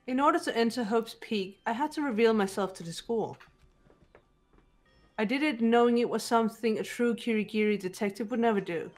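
A woman voicing a composed, guarded teenage girl detective in a video game, speaking in a measured, serious way, with bitterness held in check. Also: on the high side, a little mellow, natural voice. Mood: cool, resolute. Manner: measured, serious, deliberate delivery. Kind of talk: amateur video game voice acting. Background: background music.

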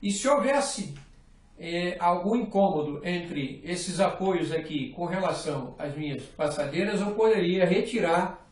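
A man's voice: high voice, distant mic. A middle-aged man from Brazil teaching fly rod building in a patient, methodical way, often checking in with the viewer as he works. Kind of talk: hands-on workshop tutorial. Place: small indoor workshop room. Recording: echoey room, voice off-mic.

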